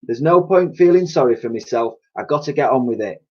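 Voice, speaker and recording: A man's voice: mid-range voice, animated, brisk, voice pushed up, close to an everyday voice. A man voicing a plucky, blunt young orphan urchin girl in a storybook reading. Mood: matter-of-fact. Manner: blunt, questioning, colloquial. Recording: dull lo-fi recording.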